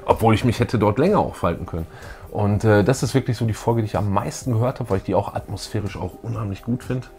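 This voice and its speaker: A man's voice: fairly low pitch, fast talker, a little mellow, soft background music. A middle-aged man co-hosting a casual, enthusiastic retro fan chat indoors. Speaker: co-host of retro fan show. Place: small room full of collectibles, indoors.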